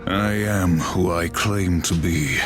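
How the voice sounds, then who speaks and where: An actor playing a brooding, solemn horseman warrior in a video game, speaking tersely in a heavy gravelly voice. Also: low-pitched, slow pace, music in the background. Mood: stern. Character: stern, brooding male horseman warrior of the apocalypse. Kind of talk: video game cutscene acting.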